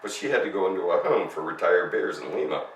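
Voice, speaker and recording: A man's voice: deep voice, expressive, quick pace, reverberant, far from the mic, slight background noise, low-quality recording. Man voicing a polite, earnest young storybook bear.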